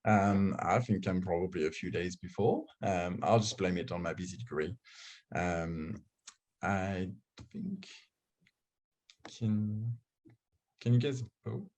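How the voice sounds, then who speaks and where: A man's voice: deep voice, lively intonation, slow, pauses often, darkish tone. A young man with a French accent hosts an online student panel from his own video call. He chats loosely and pokes fun at himself, with plenty of ums between his phrases. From France. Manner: chatty, self-joking, filler-heavy. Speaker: host. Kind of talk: casual online panel hosting.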